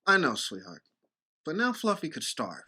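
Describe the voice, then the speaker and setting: A woman's voice: low voice, pushed and bright, husky, full character voice. A woman playing an exasperated, stern human father in an audio drama, scolding and emphatic. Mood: resigned.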